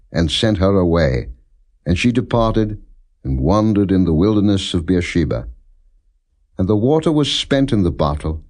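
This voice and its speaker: A man reading scripture as solemn, non-dramatized audiobook narration, in a measured, formal manner with deliberate phrasing. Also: sits low, a little mellow. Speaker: scripture reader.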